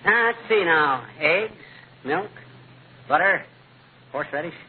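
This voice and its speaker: An actor playing a stubborn, cocksure, bungling husband with folksy bluster in a 1940s radio comedy. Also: male voice, on the high side, animated, measured pace. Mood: busy, muttering. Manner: folksy slang, blustering, muttering to himself. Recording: hissy, narrow-band old radio recording.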